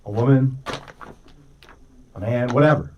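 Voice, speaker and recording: A man's voice: mid-range voice, a little slow, distant mic, lo-fi sound, a little hiss or hum. A man delivers casual radio commentary as a co-host, making his points conversationally and nudging the listener with rhetorical questions. His voice sits a little away from the microphone.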